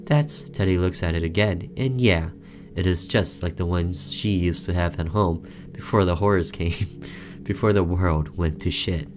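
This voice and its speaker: A man's voice: sits low, moderate pace, over music. A man doing let's play commentary, reading a visual novel aloud theatrically and breaking off for offhand asides.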